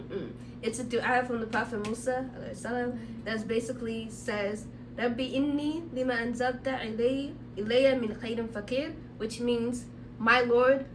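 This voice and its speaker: A woman podcast co-host in casual, joking chat, a little sick with a tickle in her throat. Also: on the high side. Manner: laid-back, joking, slangy. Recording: distant mic, faint hum.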